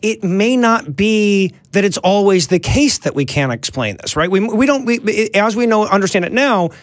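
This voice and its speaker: A man's voice: sits high, expressive, moderate pace, dry recording. A man co-hosting a live call-in show, explaining his argument conversationally with a wry edge.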